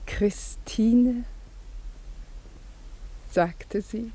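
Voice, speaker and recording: An actress playing an anxious, devoted former governess in a German radio drama, speaking in a deferential, formal and hesitant way. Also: female voice, fairly high pitch, extremely slow, mellow, husky, full character voice, poor audio. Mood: shy, hopeful.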